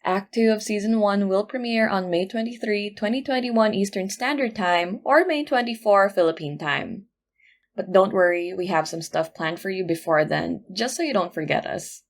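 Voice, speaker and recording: A woman's voice: mid-range voice, moderate pace. A woman podcast producer reading a scripted outro with credits and announcements.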